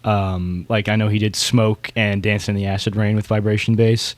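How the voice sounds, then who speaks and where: A man's voice: lowish voice, flat delivery, normal speed, no echo. A man co-hosting a podcast in casual conversation, talking with informal, slangy enthusiasm. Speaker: podcast co-host. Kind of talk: casual podcast discussion of beatboxing competitors.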